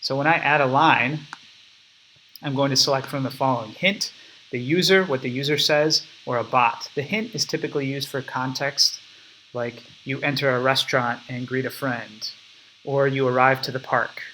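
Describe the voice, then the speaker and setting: Man, bright tone, step-by-step explaining, desktop mic, faint room ambience. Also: medium pitch, steady tone, moderate pace, a little hiss or hum, low-quality recording. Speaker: app developer demonstrating his product. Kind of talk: screen-recorded software walkthrough.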